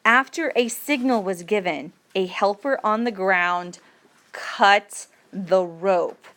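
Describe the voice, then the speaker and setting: A woman reading an educational passage aloud as a teacher, with deliberate, measured phrasing for learners. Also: mid-range voice, fairly bright tone. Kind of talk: read-aloud educational narration.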